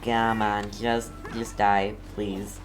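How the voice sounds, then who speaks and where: A streamer giving casual gameplay commentary in a hesitant, low-key way, talking back to the game's characters. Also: level tone, takes it slowly, over music. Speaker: game streamer.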